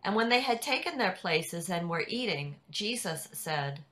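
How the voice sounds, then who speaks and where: A woman narrating a scripted scripture reading in a deliberate way. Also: on the low side, big pitch swings, fairly bright tone. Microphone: video call.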